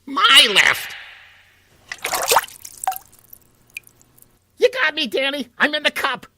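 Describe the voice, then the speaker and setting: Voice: sits high, wildly swooping pitch, normal speed, vocal fry, very noisy, exaggerated voice. An actor voicing a tiny male water molecule in a children's radio drama, eager, curious and naive, full of wondering questions. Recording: heavily reverberant, echoing voice.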